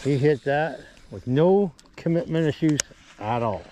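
A man's voice: on the high side, lively intonation, unhurried, mellow, slight background noise. A man giving casual running commentary as he fishes outdoors for a vlog. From Canada. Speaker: fishing vlogger. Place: outdoors at a small pond bank. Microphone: body-worn action camera.

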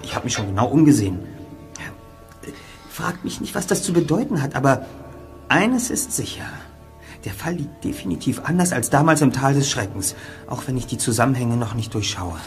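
A clever teenage detective in a radio drama. He reasons out loud in a methodical, lecturing way, and his pitch moves a lot. Music sits under the dialogue. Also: sing-song, normal speed. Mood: explaining. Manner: methodical, explanatory. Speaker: lead detective.

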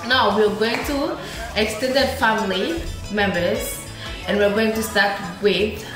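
A young woman, a native Ebembe speaker, teaches a casual online lesson in quick, friendly explanations and drills each word by repeating it. Her voice rings around a very echoey small room. Clear music plays behind her. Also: medium pitch. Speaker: language teacher.

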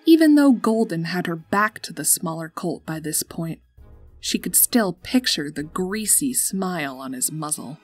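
A woman's voice: fairly low pitch, lively intonation, measured pace, breathy edge. A woman narrating a scripted story as its storyteller. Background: music.

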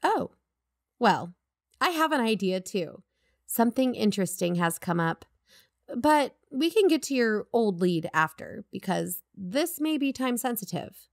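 A woman's voice: on the high side, sing-song, unhurried, no room sound, clean studio sound. A woman playing an assured podcast investigator in a conversational audio drama, reasoning and probing persuasively. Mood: matter-of-fact. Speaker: fictional podcast co-host.

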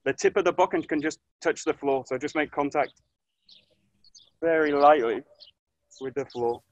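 A man in his thirties teaching an online aikido class, giving calm, short coaching cues outdoors in a grassy orchard, recorded on a camera mic as he moves nearer and farther. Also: fairly high pitch, moderate pace, dry recording, lo-fi sound. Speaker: aikido instructor. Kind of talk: live online class instruction. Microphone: camera mic, distance varies as he moves.

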